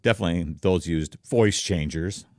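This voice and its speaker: A man with a very deep, rumbling voice, explaining things casually and conversationally to viewers, close on a studio microphone. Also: normal speed, darkish tone, dry recording. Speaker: content creator, streamer. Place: home office.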